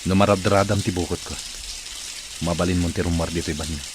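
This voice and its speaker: A Filipino man playing a gruff, despondent wealthy boss who uses a wheelchair, in a radio drama. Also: deep voice, fairly even intonation, brisk, background noise, natural voice. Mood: bitter, hopeless.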